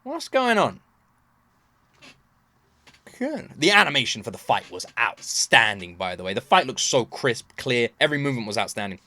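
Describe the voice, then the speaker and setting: A man giving casual, unscripted reaction commentary as the host, thinking aloud in an animated, puzzled way. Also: mid-range voice, a little fast, few pauses, no echo.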